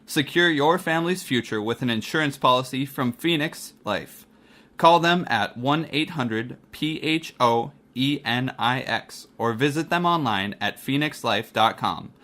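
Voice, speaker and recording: An actor playing an earnest radio drama announcer, delivering a sponsor read in an old-time style. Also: male voice, medium pitch, expressive, measured pace, pushed and bright. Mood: earnest pitch. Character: earnest male radio-mystery announcer pitching life insurance.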